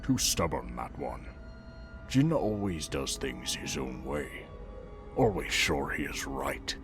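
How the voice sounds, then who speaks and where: A man acts out an old alien Jedi master with an extremely low voice. He is stern and wry, measuring his words formally in a comic dub. Prominent music plays beneath him. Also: animated, takes it slowly, heavily acted. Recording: loud music under the voice.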